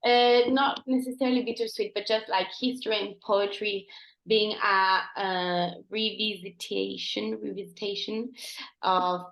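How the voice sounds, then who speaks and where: A woman's voice: medium pitch, fairly even intonation, unhurried, creaky, a bit bright. Teenage woman co-host, echoey room. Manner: uses "like" and "um" often. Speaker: podcast co-host. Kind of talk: casual chat and poetry reading.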